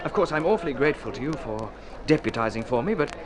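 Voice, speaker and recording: An actor playing a naive, excitable young English suitor with chummy, upper-class phrasing in an old radio drama. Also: male voice, medium pitch, fast talker, lightly acted. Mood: smug. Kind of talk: 1950s radio drama acting. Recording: noisy old radio recording.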